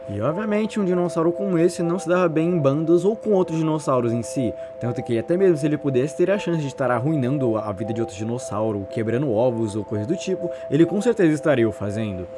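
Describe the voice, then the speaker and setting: A man's voice: mid-range voice, lively intonation, quick pace, few pauses, over music. A man with a Brazilian Portuguese accent narrating a story as casual online commentary, in a wordy, intrigued manner. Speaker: YouTube narrator.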